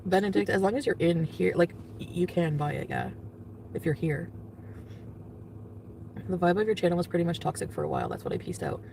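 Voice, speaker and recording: A woman's voice: on the low side, very animated, brisk, vocal fry, long pauses, warm tone. A woman vlogging and chatting with her viewers, rambling with lots of fillers and frequent laughter. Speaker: vlogger in the clip being reacted to. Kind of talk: casual vlog and live-stream chat. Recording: distant, noisy clip audio.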